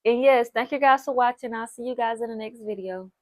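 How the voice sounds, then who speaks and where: A woman's voice: a little high, a little fast, very noisy. A nineteen-year-old woman vlogging casual advice to camera, chatty and encouraging, repeating points for emphasis. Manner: chatty, repeats herself for emphasis, lots of "like" and "so, yes"; encouraging, speaks straight to the viewer.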